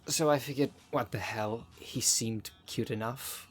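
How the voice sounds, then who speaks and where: A man's voice: mid-range voice, a little slow, soft background music, lightly acted. A man playing a jaded, sardonic thirty-two-year-old witch in an ASMR roleplay, talking in a dry, sarcastic, conversational way. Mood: wry, dismissive. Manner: dry, sarcastic, sweary, sighing.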